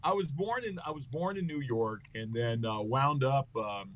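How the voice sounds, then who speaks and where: A man's voice: mid-range voice, expressive, normal speed, rough recording. A middle-aged American man, a comedian guest, telling rambling, joking anecdotes full of fillers in a casual interview. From United States.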